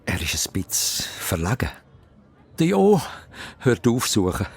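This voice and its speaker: A man's voice: medium pitch, wildly swooping pitch, a little slow, vocal fry, breathy edge. A man with a Swiss German accent narrating a children's radio play as dramatised scripted storytelling.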